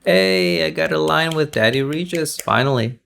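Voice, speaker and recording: A man's voice: a little high, normal speed, gravelly, noisy background. A man who does amateur voice acting, chatting casually and jokingly between takes. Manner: playful, joking. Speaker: amateur voice actor.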